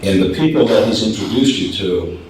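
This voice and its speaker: A man's voice: fairly low pitch, lively intonation, fairly quick, a little mellow, a little hiss or hum. A middle-aged man giving a candid, plain-spoken business talk, with many fillers and restarts, in a meeting room. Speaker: business presenter. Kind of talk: informal business presentation. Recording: echoey room, speaker far from the mic.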